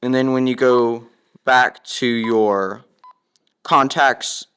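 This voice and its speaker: A man's voice: medium pitch, fairly even intonation, takes it slowly, fairly bright tone, clean tone. A man giving a casual step-by-step product demonstration in a plain, instructional manner. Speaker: product demonstrator, ham radio operator.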